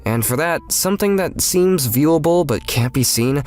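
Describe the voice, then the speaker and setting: A man's voice: a little high, animated, moderate pace, darkish tone, soft background music, lightly acted. A man playing an earnest, overprotective young step-brother who also narrates an anime-style romantic comedy dub.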